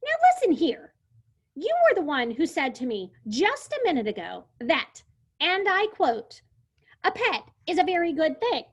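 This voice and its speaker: A woman voicing a bossy, screechy little girl in a storybook read-aloud, with a squeaky, snippy voice. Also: big pitch swings, slow, few pauses, bright, forceful tone, heavily acted. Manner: shrill, demanding, sing-song.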